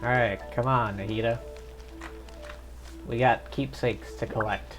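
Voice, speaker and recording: A man's voice: medium pitch, steady tone, unhurried, music in the background. A man streaming a game, reading lines and making dry, wry remarks. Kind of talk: casual game commentary.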